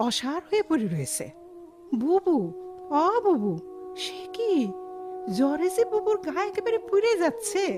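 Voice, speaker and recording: A woman's voice: high voice, warm tone, dry recording, full character voice. An actress playing an anxious, devoted village woman with a rural Bangladeshi accent in a radio drama, pleading and melodramatic. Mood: panicked wailing. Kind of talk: Bengali radio drama acting.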